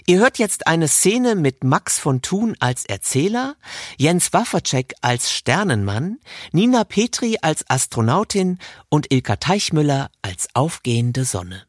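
A man hosts a podcast from a script. His voice is husky with lively intonation, recorded dry over faint music. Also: fairly high pitch, measured pace, dry recording. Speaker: podcast host.

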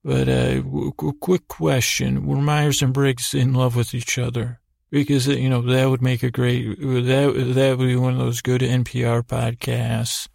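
A man with a heavily creaky voice talks in a narrow, even pitch, recorded dry and close. He hosts a bedtime podcast, meandering and doubling back on himself. His humour is absurd and self-deprecating, delivered in halting, unfinished thoughts. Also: dry recording. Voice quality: creaky, gravelly. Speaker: podcast host. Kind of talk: rambling bedtime monologue.

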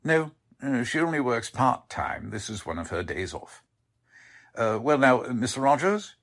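Husky, courteous warehouse manager. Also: male voice, medium pitch, very animated, moderate pace, a bit bright. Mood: hesitant. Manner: courteous, hesitant, fussily formal. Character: polite, defensive warehouse manager showing investigators around. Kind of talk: 1940s radio drama acting.

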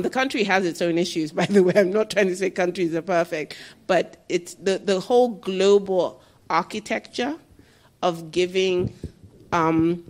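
Candid woman answering audience questions. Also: lowish voice. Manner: animated, candid, quick asides and restarts. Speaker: speaker on development finance and energy.

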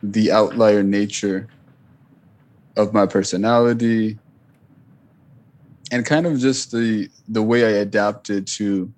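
A man whose first language was Punjabi talks through his memories as an interview guest. His voice sits low and stays fairly flat, and he pauses, restarts and leans on fillers as he thinks aloud. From Richmond, Virginia, US. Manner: thoughtful, with frequent fillers and restarts. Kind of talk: reflective interview talk.

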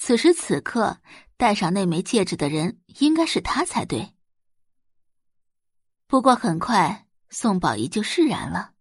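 Female audiobook narrator for dramatic fiction, animated storytelling delivery with a slightly husky tone. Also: medium pitch, a little slow, no echo, studio quality. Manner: expressive storytelling.

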